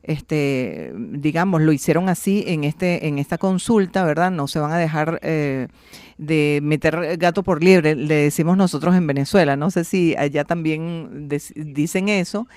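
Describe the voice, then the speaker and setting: A woman's voice: deep voice, a little slow, a little mellow, dry recording. A woman hosting a radio interview, searching for words and restarting often as she asks her questions.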